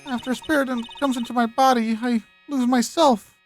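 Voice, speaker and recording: A woman's voice: on the high side, fairly even intonation, a little fast, soft background music, pitched above the performer's own voice, lightly acted. A woman voicing a distraught, guilt-ridden teenage girl, a fragile spirit medium in a video game, earnest and emotional. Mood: shaken, recounting.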